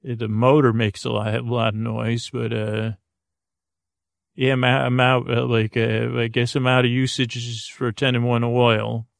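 A man with a creaky voice hosting a bedtime podcast, musing aloud in a hesitant, meandering way and circling back on words. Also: mid-range voice, little pitch movement, measured pace, no echo, studio quality. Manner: musing, asks themselves questions. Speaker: podcast host.

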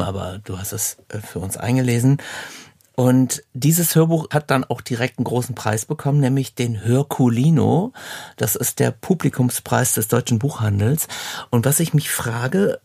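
A man's voice: medium pitch, vocal fry, dark tone, breathy edge. A man hosting a casual interview, asking questions and commenting in a conversational style.

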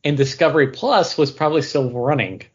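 A man's voice: fairly high pitch, brisk, slightly rough, lo-fi sound. A man co-hosting a podcast, talking in a casual, conversational style about pop culture. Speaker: podcast co-host.

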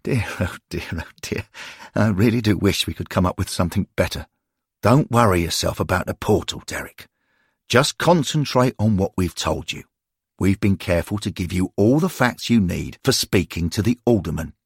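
A man voicing a genteel older gentleman who frets and fusses in a husky, creaky voice. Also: low-pitched, expressive, moderate pace, no room sound, clean studio sound, exaggerated voice. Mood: fretful. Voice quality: husky, creaky, prim and fretful.

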